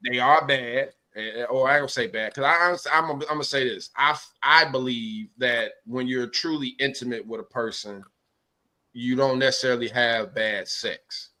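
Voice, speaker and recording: A man co-hosting a casual podcast chat, speaking haltingly with many restarts and fillers. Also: mid-range voice, pushed and bright. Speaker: podcast co-host.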